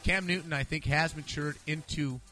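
A man's voice: fairly high pitch, steady tone, normal speed, bright, forceful tone, faint music behind. A man hosting a casual sports picks show, talking in a playful, slangy and sometimes profane way. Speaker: sports podcast host. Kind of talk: casual sports pick banter.

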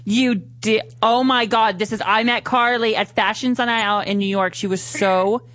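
A woman's voice: a little high, fairly even intonation, normal speed, a bit bright, no room sound. A woman hosting a comedy radio talk show, giggly and affectionate, calling people honey. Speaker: host.

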